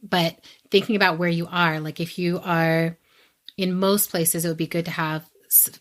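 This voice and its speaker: A woman's voice: on the low side, steady tone, normal speed. A woman giving casual advice as a podcast guest, thinking aloud in an informal way.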